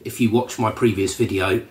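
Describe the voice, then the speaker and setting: A man with a cold and a slightly croaky voice, hosting a casual vlog update in a relaxed, rambling way with many ums. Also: on the low side, a little slow, slight background noise. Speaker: channel host. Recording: roomy echo from a distant mic.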